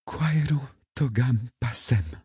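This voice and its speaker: A man voicing a weakened but steadfast young woman in an audiobook, whispering gently yet firmly. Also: medium pitch, lively intonation, slow pace, slightly rough, no echo, studio quality, pitched above the performer's own voice, heavily acted. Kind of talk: audiobook character acting.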